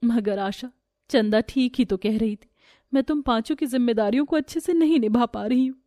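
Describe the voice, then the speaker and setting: A woman's voice: on the high side, a little fast, warm tone, no echo, clean studio sound, close to an everyday voice. A woman voicing a meek, poor, worn-down seamstress and sister-in-law in a family story. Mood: sad.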